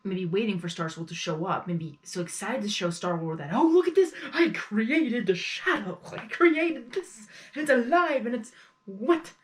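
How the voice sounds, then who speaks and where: A woman giving a casual live reaction as host, excitable and thinking aloud in fragments. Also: medium pitch, moderate pace. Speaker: host reacting to an audio drama.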